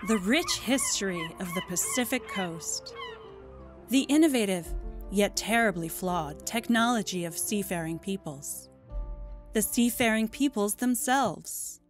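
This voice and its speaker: A woman playing a grandiose, darkly sinister museum advert announcer, pompous and fond of dramatic pauses. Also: mid-range voice, huge pitch swings. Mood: grand, smug. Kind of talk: scripted parody museum advertisement voice-over. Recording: music bed under the voice.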